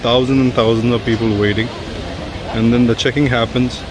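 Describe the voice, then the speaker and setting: A man on a trip, pointing out sights in quick, plain speech outdoors. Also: mid-range voice, flat delivery. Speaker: travel companion. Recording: street noise behind him.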